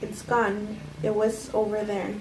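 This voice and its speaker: A woman reading the part of a subdued, guarded wife in a flat, unpolished table read of a film script. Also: medium pitch, a little slow, lightly acted. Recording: roomy, distant mic, clear background noise.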